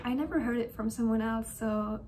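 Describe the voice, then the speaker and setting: A young woman, a university student, talking in a casual diary-style vlog. She speaks softly and hesitantly, often dropping to a gentle, breathy whisper. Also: on the high side, a little fast, a little hiss or hum. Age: young adult.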